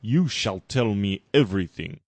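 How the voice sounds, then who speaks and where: A man's voice: on the low side, lively intonation, moderate pace, darkish tone, no room sound. A man voicing a grandly evil male alien overlord who gives menacing commands.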